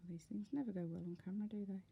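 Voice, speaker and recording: A woman's voice: mid-range voice, very fast, gravelly, dark tone, muffled recording, slight background noise. A woman giving a casual, step-by-step craft tutorial, talking informally and unhurriedly as she works.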